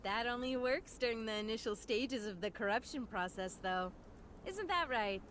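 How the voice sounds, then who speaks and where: A woman's voice: fairly high pitch, animated, moderate pace, fairly bright tone, a little hiss or hum. A woman playing a brash, teasing, battle-worn fighter with a flippant, wry manner in an anime-style video game.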